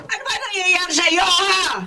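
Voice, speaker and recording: An actress playing a middle-aged mother and wife in a Filipino radio drama, bashful, concerned and expressive. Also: extremely high pitch, moderate pace. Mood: alarmed. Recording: distant, noisy drama recording.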